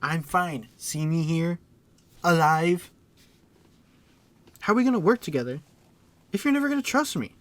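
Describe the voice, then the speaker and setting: A man's voice: fairly high pitch, lively intonation, moderate pace, long pauses, fairly bright tone, no room sound. A man playing a brash, impulsive young superhero sidekick who wisecracks in an audio drama. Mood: defensive. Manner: wisecracking, defensive.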